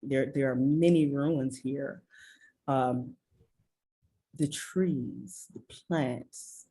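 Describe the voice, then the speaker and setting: A woman from Michigan telling her story as an interview guest, slow and earnest, with frequent ums and restarts over a video call. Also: rumbling low, fairly even intonation, warm tone, slightly rough. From Michigan, USA. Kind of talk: reflective personal storytelling. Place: rural village in southern Belize.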